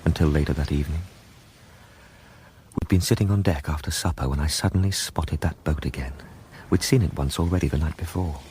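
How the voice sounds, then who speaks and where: An actor playing a curt, possessive British husband in a radio drama, speaking in a brusque, firm way. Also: male voice, a bass voice, brisk, dark tone, slight background noise. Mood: brooding narration. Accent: British English. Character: curt, possessive, practical new husband fond of boats. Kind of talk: radio drama acting and narration.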